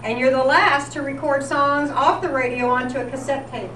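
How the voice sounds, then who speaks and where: A high-pitched woman reads a scripted, nostalgic history as an event presenter. She sounds distant from the mic, in a reverberant room. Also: steady tone, moderate pace, bright, forceful tone. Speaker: presenter at a volunteer appreciation event. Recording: echoey room, distant mic, background noise.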